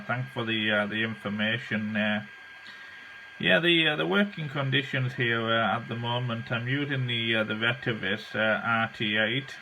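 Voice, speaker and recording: A man talking as an amateur radio operator in a halting, casual way, with frequent hesitations and repeats. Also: mid-range voice, sharp tone, a little hiss or hum, rough recording. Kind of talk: casual ham radio chat.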